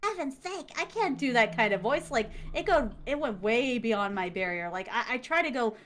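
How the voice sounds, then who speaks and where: A woman guest chatting casually over a video call, animated and quick to laugh, acting out voices as she tells her stories. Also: fairly high pitch, slight background noise. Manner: laughs often, fillers like "like". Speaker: interview guest, content creator. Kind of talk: casual chat, storytelling.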